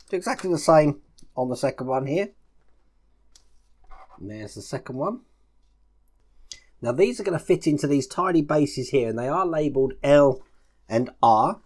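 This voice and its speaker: A man's voice: medium pitch, a little mellow. A man chatting casually through a step-by-step build tutorial, explaining each move as he works. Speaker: host of a model-building channel.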